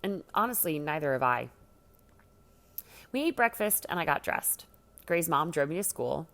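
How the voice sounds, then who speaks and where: A woman narrates a first-person teen story in a low register with noticeable vocal fry. Her reading is scripted and expressive, with a dramatic storyteller's delivery. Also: moderate pace. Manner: dramatic, acts out the dialogue. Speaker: story reader.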